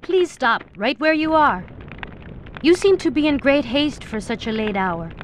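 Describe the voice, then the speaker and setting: A woman's voice: sits high, lively intonation. Haughty young swordswoman guard. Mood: haughty, teasing. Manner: condescending, deliberate. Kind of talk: video game cutscene acting. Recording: clear background noise.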